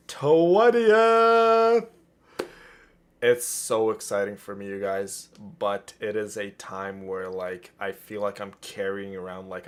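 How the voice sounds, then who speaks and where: A man hosting a livestream, chatting casually and addressing viewers with doting affection. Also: fairly low pitch. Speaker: livestream host. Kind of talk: casual chat while streaming a game.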